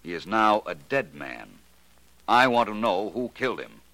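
An actor playing a cool, confident gentleman detective in a 1940s radio mystery, speaking in a measured, matter-of-fact way. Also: on the low side, very animated, no echo, exaggerated voice, rough recording. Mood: cool, probing. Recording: vintage 1940s radio recording.

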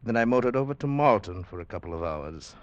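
An actor playing a calm, skeptical psychiatrist, speaking in a measured, formal way in 1940s radio style. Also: male voice, lowish voice, no echo, highs cut off, natural voice. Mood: calm, reassuring. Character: calm, rational, skeptical psychiatrist, a professional man. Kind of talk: 1940s radio drama acting.

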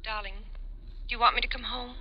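A woman's voice: fairly high pitch, steady tone, fast talker, lightly acted. A woman playing a pleading wife on the phone. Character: pleading wife calling her husband. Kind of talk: 1940s radio acting. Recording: dull old radio recording.

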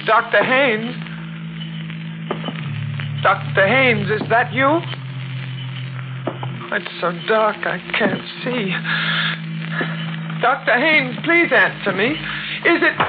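An actress playing a secretive private nurse in an old radio mystery, deliberate and conspiratorial in an urgent way. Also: female voice, a little high, lively intonation, muffled recording. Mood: anxious. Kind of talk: 1940s radio mystery acting. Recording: thin old radio broadcast with music.

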